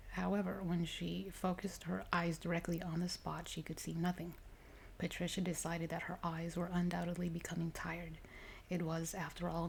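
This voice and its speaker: Woman, ASMR story narration, low pitch, heavy vocal fry, unhurried soft delivery, faint background noise. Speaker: ASMR reader.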